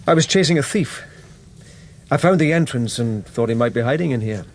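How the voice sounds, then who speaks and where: Calm, gravelly loyal lieutenant. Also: male voice, medium pitch, a little fast. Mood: matter-of-fact. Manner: calm, deferential, careful. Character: loyal, level-headed policeman lieutenant in old Japan. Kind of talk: radio drama acting.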